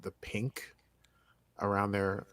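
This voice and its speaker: A man's voice: on the low side, little pitch movement, slow, a bit bright, clean tone, dry recording. A man co-hosting a casual TV recap podcast, talking in a rambling, conversational way full of loose asides. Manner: rambling, conversational, hedging with like and whatever. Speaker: podcast co-host. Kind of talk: casual TV episode recap chat.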